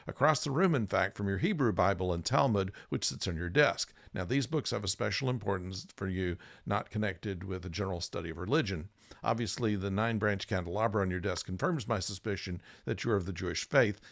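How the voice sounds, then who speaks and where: Host-type man, gravelly, halting casual read-through, dry close sound. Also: lowish voice, fairly bright tone, dry recording. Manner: halting, with many fillers and restarts. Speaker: podcast host. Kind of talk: casual chat, reading passages aloud.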